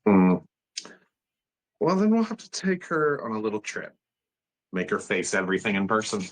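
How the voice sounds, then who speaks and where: Man playing male ward doctor, table-read drama. Lowish voice, calm authority, measured and gently firm. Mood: measured, concerned. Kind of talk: amateur screenplay table read.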